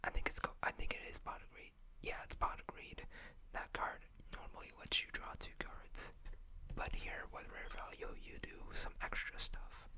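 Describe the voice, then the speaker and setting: An ASMR host speaking in a breathy, unvoiced whisper close to the microphone, unhurriedly reading text aloud with casual asides. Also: normal speed.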